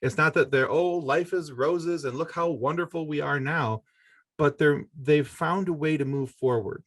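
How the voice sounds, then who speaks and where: A man's voice: fairly high pitch, normal speed, no room sound, studio quality. A middle-aged American man from Michigan, a podcaster, giving earnest, rambling conversational answers as an interview guest. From Michigan, United States.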